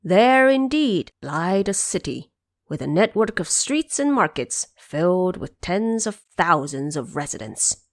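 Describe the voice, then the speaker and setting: A woman narrating an audio drama of a classic tale in an expressive storytelling manner. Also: on the low side, unhurried.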